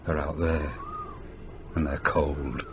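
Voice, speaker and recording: An actor playing a big, gruff, teasing van driver with a wry, matey manner in a radio drama. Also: male voice, extremely low pitch, little pitch movement, slow. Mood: eerie, relishing. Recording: noisy radio drama recording.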